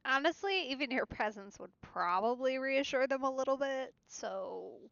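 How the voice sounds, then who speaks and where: A woman's voice: high-pitched, lively intonation, moderate pace, creaky, a bit bright, dry recording, dull audio. A woman streamer reacting casually while playing through a game.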